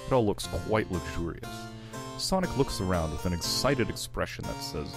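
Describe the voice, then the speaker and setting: A man's voice: low voice, animated, normal speed, music in the background. A man reading scripted narration for a fan-fiction screenplay.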